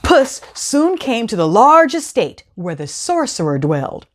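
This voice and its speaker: A woman reading a children's storybook aloud with dramatic, expressive narration. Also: fairly high pitch, a little slow, a little hiss or hum.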